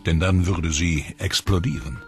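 A man giving measured, explanatory scripted voice-over narration for a documentary. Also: low-pitched, vocal fry. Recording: voice-over with music behind.

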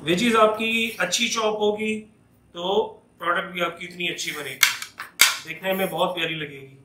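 A man with a high, bright voice walks through a recipe step by step, patiently explaining each cut. He talks while chopping in a home kitchen, and the room sounds echoey. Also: a little hiss or hum. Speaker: home cooking channel host. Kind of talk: step-by-step cooking demonstration.

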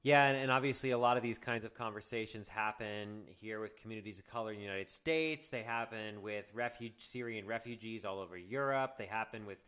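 A man's voice: fairly low pitch, brisk, few pauses, a bit bright, clean tone. A man hosting an interview on a video call, asking questions in an easygoing, hesitant way. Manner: easygoing, hesitant phrasing with fillers. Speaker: podcast host. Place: home room.

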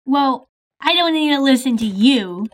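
A woman's voice: high-pitched, animated, unhurried. A woman voicing a rude, bossy shopper called a Karen, snapping at kids in a game roleplay. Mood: snappy.

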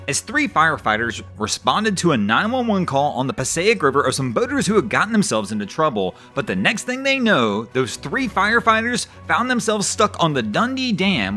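A man hosting a weekly boating news roundup, reading scripted stories in a lively, rising and falling voice-over. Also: a little high. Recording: voice-over with faint background music.

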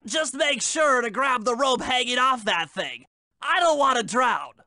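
Very high-voiced nerdy turtle genius. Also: male voice, lively intonation, measured pace, bright, forceful tone, no room sound, studio quality, exaggerated voice. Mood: fussy, nervous. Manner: fussy, bookish, wordy. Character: nerdy, anxious young male turtle tech genius. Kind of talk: video game voice acting.

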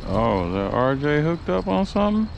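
A man's voice: on the high side, big pitch swings, normal speed, gravelly, dull audio, a little hiss or hum. A man vlogging casually as he fishes outdoors, talking in a colloquial, exclamatory way into a worn camera. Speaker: fishing vlogger. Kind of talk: casual narration and chat while fishing. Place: open beach at night, outdoors. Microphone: worn action camera.

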